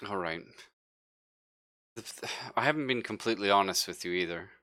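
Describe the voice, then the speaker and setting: A man playing a desperate, guilty crewman who confesses in halting, defensive bursts in a tabletop game. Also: on the low side, pushed and bright, dry recording, clean studio sound. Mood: defensive, pleading. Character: desperate, guilty male crew member, gasping and pleading.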